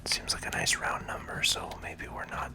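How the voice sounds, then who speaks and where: A gaming video host giving hushed, relaxed ASMR commentary in a breathy, unvoiced whisper while playing. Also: moderate pace, music in the background.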